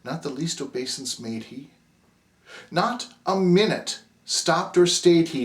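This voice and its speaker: A man giving a dramatic, expressive poetry recitation, swinging between hushed near-whispers and agitated outbursts. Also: a little high.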